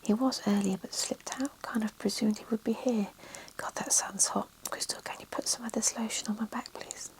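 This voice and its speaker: A woman voicing a laid-back woman sunbathing, in a soft unvoiced whisper. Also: fairly high pitch, fairly even intonation, normal speed, close to an everyday voice, rough recording.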